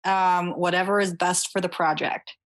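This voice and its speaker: Slightly low-voiced woman chatting. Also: flat delivery, moderate pace. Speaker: podcast guest. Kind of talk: casual podcast chat.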